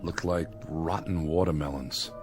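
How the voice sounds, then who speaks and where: An actor playing a weary, sardonic survivor with a wry, commanding manner in a radio drama. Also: male voice, extremely low pitch, fairly even intonation, measured pace, music in the background. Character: weary, sardonic male survivor, cautious authority figure.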